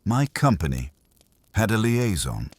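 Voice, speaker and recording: A man's voice: fairly low pitch, sing-song, a little slow, husky, dry recording, voice pushed up, heavily acted. A man playing a defensive, methodical doctor in an audiobook mystery, giving clipped, factual statements.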